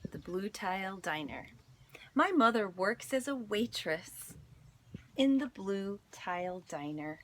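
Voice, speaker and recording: A woman reads a children's story aloud like a teacher, at an unhurried pace with very lively intonation. She pauses for dramatic effect and phrases deliberately. There is clear background noise in the recording. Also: medium pitch. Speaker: teacher reading aloud to students.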